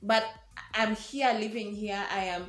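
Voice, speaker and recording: A young Kenyan woman talks through a personal story in a chatty, earnest vlog voice. She sounds like she is in an echoey room, with soft music under her. Her pitch sits in the middle. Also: steady tone, unhurried. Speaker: vlogger. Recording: roomy echo with faint music.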